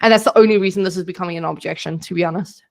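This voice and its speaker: A woman's voice: lowish voice, very fast, vocal fry, darkish tone. A young woman giving direct, practical business coaching on a video call, casual and plain-spoken.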